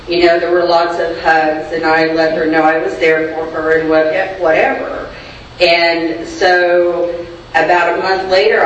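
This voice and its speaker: A clinic screener with a naturally loud voice, telling a chatty story at a group meeting. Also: mid-range voice, slight background noise. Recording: echoey room, far from mic, dull.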